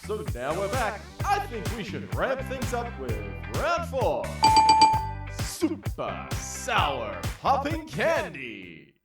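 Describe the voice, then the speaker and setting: Casting: male actor as showy, cruelly cheery game show host, high voice, echoing room. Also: huge pitch swings, far from the mic, over loud music, full character voice. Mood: showy, teasing. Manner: theatrical, drawn-out announcer delivery. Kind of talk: game show parody audio drama acting. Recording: roomy echo, off the mic.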